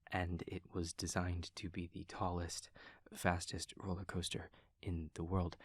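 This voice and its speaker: An awkward, earnest demon classmate acted by a man speaks in a low, husky half-whisper, close and dry. He stammers hesitantly and phrases things with stiff, literal formality, anxious to comfort in an intimate audio roleplay. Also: dry recording, exaggerated voice. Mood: soft, soothing recital. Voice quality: soft, breathy, husky.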